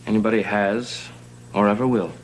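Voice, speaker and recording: A man's voice: fairly low pitch, steady tone, moderate pace, a little hiss or hum, natural voice. An actor playing an intense, insistent, earnest man in a gothic soap opera. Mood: tender, earnest. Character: intense, determined man investigating, protectively devoted lover.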